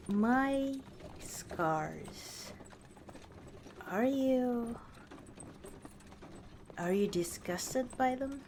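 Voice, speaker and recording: A woman voicing a proud but softening battle-scarred orc warrior wife in an intimate ASMR roleplay, speaking tenderly and haltingly. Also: mid-range voice, slight background noise. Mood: hesitant, vulnerable. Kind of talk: ASMR roleplay, intimate one-sided dialogue. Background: outdoor ambience, horse hooves.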